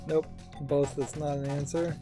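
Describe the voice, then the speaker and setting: Guy doing lets play commentary, slow n mellow, kinda high for a man, faint music behind. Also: flat delivery. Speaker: let's play host. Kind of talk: game commentary, thinking aloud.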